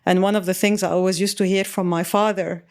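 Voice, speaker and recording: A woman from Lebanon hosting an interview in a chatty way, animated and quick to laugh while telling stories. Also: mid-range voice. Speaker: podcast host. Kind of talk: conversational interview.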